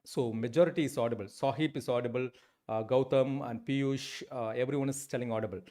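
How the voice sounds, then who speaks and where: A middle-pitched man in his forties speaks with an Indian English accent as he teaches a live online session. He talks into a close desk mic and stumbles often, with many fillers and restarts. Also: steady tone, fairly quick, a little mellow. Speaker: instructor. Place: indoor room, webcam.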